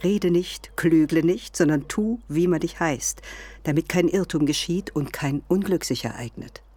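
A woman's voice: low-pitched, measured pace, warm tone, no room sound. An actress playing an imperious, scheming biblical mother in a literary radio drama, speaking tersely and at times in incantatory whispers. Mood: commanding, urgent.

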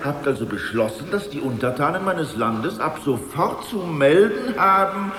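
A man's voice: on the high side, sing-song, normal speed, roomy sound, crowd noise. An actor playing a gullible, kindly fatherly Tsar in a children's radio play, stately and benevolent. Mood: pleased, approving. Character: gullible, kindly old fairy-tale Tsar, fatherly ruler.

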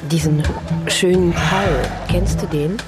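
An actress playing a polite, inquisitive young trainee reporter in a radio drama, hesitant and questioning. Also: female voice, on the low side, fairly even intonation, takes it slowly, over loud music. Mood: curious. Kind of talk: German radio crime drama acting.

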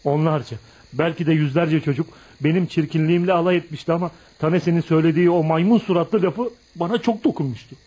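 An actor in a radio play voicing an embittered, proud young outcast who confides in a dramatic, emphatic storytelling manner. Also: male voice, a little high, fast talker, warm tone, slightly rough. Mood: wistful, wounded. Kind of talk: radio theatre acting.

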